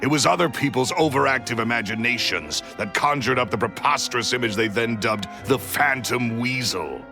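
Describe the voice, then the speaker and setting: A man voicing a gravelly, bitter villain magician. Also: normal speed, over music, natural voice. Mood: haughty. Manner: scornful, self-pitying, dramatic swings in pitch. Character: bitter jealous male magician villain, middle-aged. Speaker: villain confessing. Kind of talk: video game voice acting.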